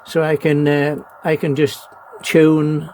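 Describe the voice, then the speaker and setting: A man gives a casual, unscripted show-and-tell in a halting, hesitant way, often pausing mid-sentence. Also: fairly high pitch, completely flat delivery, a little mellow. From New Zealand. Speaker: amateur radio operator, presenter. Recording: noisy amateur home video.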